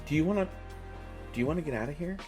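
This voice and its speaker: An American man playing an awkward, kindly stranger in an intimate, casual audio roleplay, talking in a halting way with trailing pauses. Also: fairly high pitch, animated, over music, natural voice. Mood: shy, coaxing. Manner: hesitant, halting, with trailing pauses and self-corrections. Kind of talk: intimate one-sided audio roleplay, casual conversational acting.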